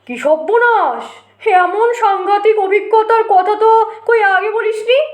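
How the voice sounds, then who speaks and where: A woman's voice: very high voice, slow pace, reverberant, voice pushed up. A woman voicing an elder uncle with a mocking, needling temper in an audiobook story. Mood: astonished. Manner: taunting, dry forced joviality.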